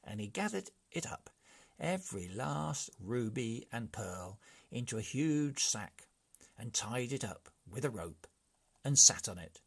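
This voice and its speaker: A man's voice: mid-range voice, very animated, a little slow, creaky, slightly rough, exaggerated voice. A man voicing an eager, inventive brigand in a storybook, enthusiastic and coaxing as he tries to please. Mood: spinning a tale. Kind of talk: storybook character voice.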